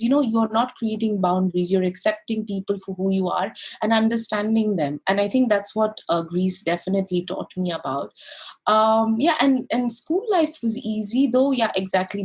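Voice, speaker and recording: A woman from India talks as a podcast guest, her voice slightly breathy and husky. She speaks in a lively, reflective way, often pausing on fillers and restarting her sentences. Her pitch sits in an ordinary middle range for a woman. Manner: animated, thoughtful, many fillers and restarts. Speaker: podcast guest, service designer. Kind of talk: casual interview conversation.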